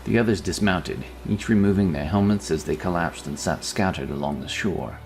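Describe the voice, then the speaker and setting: A man's voice: deep voice, normal speed, vocal fry. A man reading scripted narration for a fantasy audio story. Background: background music.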